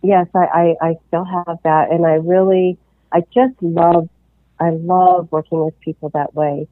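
Woman podcast guest, relaxed and conversational, flat delivery over a dry, tinny line. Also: lowish voice, unhurried, no room sound. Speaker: animal communicator guest. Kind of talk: casual interview talk.

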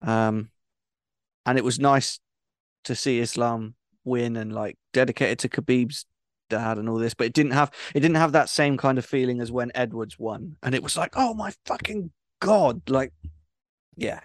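A man's voice: mid-range voice, moderate pace, dry recording, clean studio sound. A man co-hosting a podcast, talking in a laid-back, casual way with lots of fillers. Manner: laid-back fan talk with frequent fillers. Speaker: podcast co-host. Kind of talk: casual chat.

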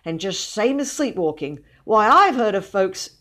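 A woman's voice: mid-range voice, very animated, unhurried, bright, forceful tone. A woman voicing a talkative young boy in a storybook, earnest and unruffled, with colloquial grammar. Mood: earnest, rambling. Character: meek-looking, unflappable, talkative young boy.

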